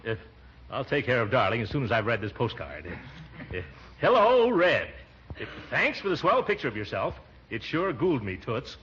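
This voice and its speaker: An actor playing a pompous, bumbling middle-aged uncle in 1940s radio comedy, hemming and theatrical. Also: male voice, medium pitch, huge pitch swings, fairly bright tone. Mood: blustering. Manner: hemming, halting, theatrical delivery. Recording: dull, noisy 1940s radio recording.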